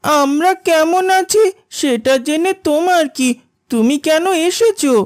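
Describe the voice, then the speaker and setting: A woman's voice: high voice, unhurried, no room sound, clean studio sound. A woman voicing a haughty, hostile village schoolgirl in a cartoon, snippy and accusing. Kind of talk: cartoon character dialogue.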